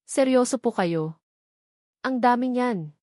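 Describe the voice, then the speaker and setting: A woman's voice: fairly high pitch, expressive, takes it slowly, darkish tone, dry recording, clean studio sound, pitched above the performer's own voice. Woman acting earnest young female street vendor. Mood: flustered, polite. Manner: polite, earnest, calls out wares. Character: hardworking young woman street vendor, caring, polite, shy.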